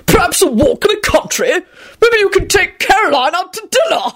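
A woman voicing a boisterous, spiteful old British gentleman who heartily shouts his mockery in a story. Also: extremely high pitch, big pitch swings, a little fast, pitched above the performer's own voice, exaggerated voice. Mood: gleeful mockery.

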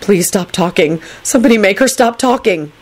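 A woman's voice: mid-range voice, animated, normal speed, a little mellow, natural voice. A woman voicing a flustered, exasperated man who blurts frantically in a story reading. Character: flustered, vehement young man, easily exasperated.

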